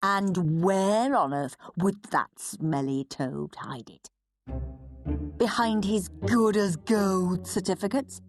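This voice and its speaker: A woman's voice: medium pitch, lively intonation, slow pace, no echo, soft background music. A woman voicing a horrid, scheming British schoolboy in a storybook, with a scornful, melodramatic delivery. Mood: indignant, scornful.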